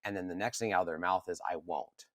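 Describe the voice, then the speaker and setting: A man's voice: low voice, quick pace, fairly bright tone, no room sound, studio quality. A man co-hosting a casual podcast conversation, talking earnestly and animatedly, often restarting and repeating words as he works through his ideas. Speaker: podcast co-host.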